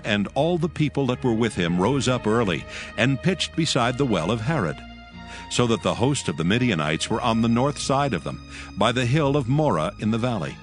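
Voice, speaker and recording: A man narrating scripture from a script in a solemn, measured manner. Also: lowish voice. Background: music.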